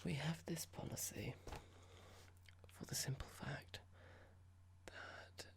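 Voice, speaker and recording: Someone playing a creaky, whispering, condescending boss. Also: mid-range voice. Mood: stern, condescending. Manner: measured, formal, passive-aggressive, halting. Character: cold condescending boss about to fire an employee. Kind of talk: ASMR roleplay, whispered and soft-spoken.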